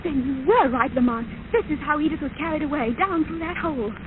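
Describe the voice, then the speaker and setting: A woman's voice: high-pitched, animated, fast. An actress playing an anxious, caring young woman who helps a sleuth, earnest and urgent, in a 1930s radio drama. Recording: noisy, tinny 1930s radio recording.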